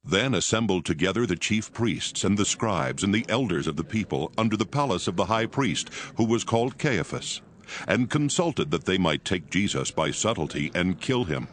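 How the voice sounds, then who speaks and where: A man's voice: sits low, animated, normal speed. A man giving a scripted narration of scripture in archaic English. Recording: voice over prominent music.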